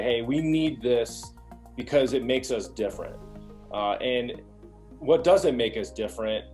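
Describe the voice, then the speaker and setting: A man with a mid-pitched voice talks through business matters as a guest executive. His delivery is informal and conversational, and he often slips into imagined quotes to make a point. Faint music sits behind him. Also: a little mellow. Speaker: logistics executive, webinar guest.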